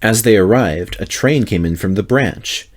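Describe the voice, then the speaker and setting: A man's voice: fairly low pitch, normal speed. A man reading a children's story aloud as narrator, in a scripted, dramatic storytelling style.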